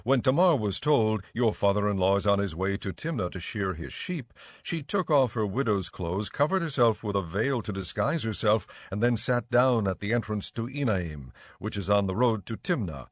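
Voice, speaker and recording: A man reading scripted scripture narration in a measured, solemn storytelling manner. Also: lowish voice, expressive, no echo.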